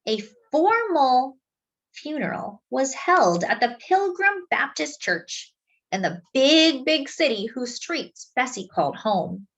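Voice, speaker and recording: A woman with a fairly high voice reads a children's book aloud. Her pitch moves in wide, lively swings, and she phrases deliberately, stretching words out for dramatic emphasis. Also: rough recording. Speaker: storyteller reading a picture book aloud. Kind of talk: expressive children's read-aloud narration.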